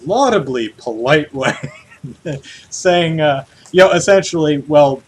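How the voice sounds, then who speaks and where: A man co-hosting a casual livestreamed podcast about video game news, talking in an animated, jokey way. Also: fairly high pitch, takes it slowly, a little hiss or hum. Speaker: podcast co-host. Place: hot room at home, on a livestream.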